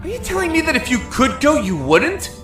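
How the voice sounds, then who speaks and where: Actor as an anguished young prisoner, swooping and echoey. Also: male voice, very high-pitched, wildly swooping pitch, exaggerated voice. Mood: pleading, insistent. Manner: dramatic, halting, emotional with pauses. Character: anguished, earnest young male prisoner in a dark cell. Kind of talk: audio drama acting and first-person narration. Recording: echoey, like a dark cell. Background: music.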